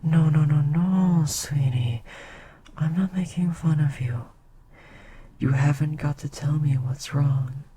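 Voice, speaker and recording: A woman voicing an ancient queen succubus, soft and tender, often slipping into a breathy whisper in an ASMR roleplay. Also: low voice, fairly even intonation, slow, pauses often, background noise.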